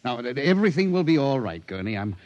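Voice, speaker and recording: A man's voice: mid-range voice, sing-song, quick pace, darkish tone, natural voice. Brief: man voicing a curt, flustered boss in a 1950s radio play, muffled broadcast sound. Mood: nervous. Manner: brusque, then nervously soothing. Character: impatient middle-aged company boss. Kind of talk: 1950s radio drama acting. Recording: dull old radio broadcast.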